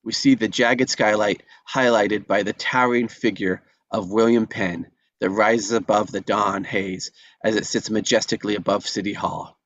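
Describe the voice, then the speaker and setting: A man's voice: on the low side, fairly even intonation, moderate pace, a bit bright, no echo, rough recording. A man reading out stage directions as narrator in a scripted table read.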